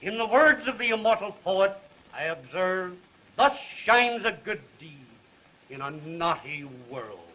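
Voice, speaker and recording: A wry, mock-grandiose newspaperman, speaking in a high, lively, bright voice. It comes from a dull, hissy 1930s soundtrack. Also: unhurried. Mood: droll. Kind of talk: 1930s film acting.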